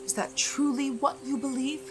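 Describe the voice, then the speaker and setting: A woman playing a grave, wise guardian of a magical island in an audio drama, measured and probing. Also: sits high, steady tone, music in the background, natural voice. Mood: solemn, challenging.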